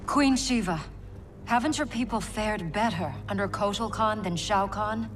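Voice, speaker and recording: A woman's voice: mid-range voice, a little slow, over music, lightly acted. A woman playing a determined young warrior princess in a game, earnest, poised and commanding. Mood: urgent. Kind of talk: dramatic video game cutscene acting.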